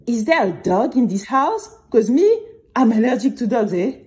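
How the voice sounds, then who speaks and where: A woman's voice: fairly high pitch, animated, normal speed, a little mellow, close to an everyday voice. A woman voicing a self-important man, a dinner guest, in an audio story for children. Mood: indignant.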